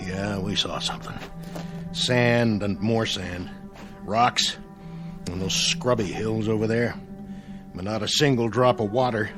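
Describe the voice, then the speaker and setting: A man's voice: lowish voice, fairly even intonation, a little slow, long pauses, music in the background, lightly acted. A man playing a bitter, selfish crewman desperate to survive in a radio drama, with a sardonic and confrontational manner. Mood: bitter, weary.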